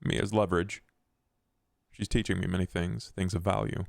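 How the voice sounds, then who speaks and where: Duke, a deep, gravelly vampire schemer played by a man. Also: little pitch movement, no echo, studio quality. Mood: guarded, scheming. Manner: formal, wordy, measured, calculating. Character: Duke, scheming, formal, ambitious male vampire aristocrat. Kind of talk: in-character tabletop roleplay.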